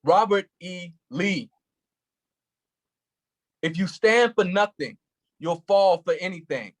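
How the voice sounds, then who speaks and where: A man's voice: high-pitched, animated, takes it slowly. An actor playing an anguished, furious police officer who is grieving his cousin, in a staged play reading with an intense, impassioned delivery. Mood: cold.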